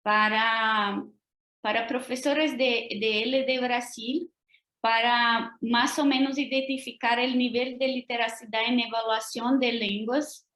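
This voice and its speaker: Woman, Brazilian Portuguese accent, guest lecturer, careful, checks in with listeners, fairly high pitch, roomy video call audio. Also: fairly even intonation, slow, a bit bright. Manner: careful, often adds tag questions to check in.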